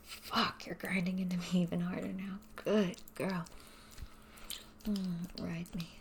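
Woman, intimate whisper, breathy, dominant teasing tone, gravelly fry, unhurried delivery. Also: lowish voice, level tone, slight background noise. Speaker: performer of an audio roleplay. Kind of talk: intimate whispered audio roleplay addressed to the listener.

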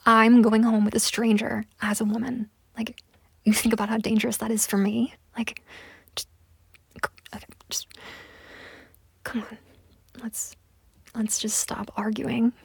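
A woman's voice: fairly high pitch, no echo, full character voice. A young woman voicing a pushy, entitled woman on a date in a one-sided audio roleplay, talking in a close, whispery way with lots of swearing. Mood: mocking, coaxing. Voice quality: husky, breathy, gravelly. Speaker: voice actor.

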